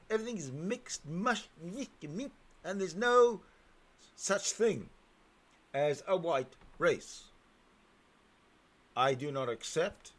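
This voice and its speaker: A man hosting a talk show, commenting on a clip and jumping in with emphatic, sometimes halting remarks. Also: on the high side. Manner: animated, emphatic, swings loud and soft. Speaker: show host. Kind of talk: commenting over a played clip.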